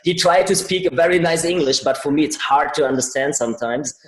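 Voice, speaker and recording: A man's voice: fairly high pitch, fairly quick. German-accented man, podcast host, hesitant, restarts often, echoey room. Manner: hesitant, many fillers and restarts in English. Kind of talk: interview hosting.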